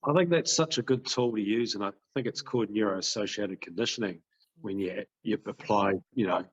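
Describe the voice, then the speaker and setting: A man's voice: fairly low pitch, a little fast, dull audio. A man co-hosting a podcast interview, speaking in a casual, enthusiastic and rambling way. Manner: enthusiastic, rambling, with many "you know" fillers. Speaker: podcast co-host.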